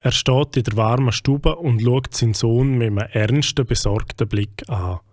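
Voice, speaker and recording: A man with a Swiss German accent narrating a children's story calmly. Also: medium pitch, moderate pace, no room sound, clean studio sound.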